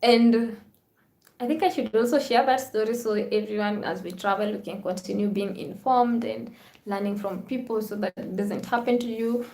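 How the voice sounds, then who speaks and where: A woman's voice: medium pitch, fairly even intonation, normal speed, a little mellow, reverberant. A woman hosting a live vlog stream, chatting casually with viewers, reading their comments and thanking them often.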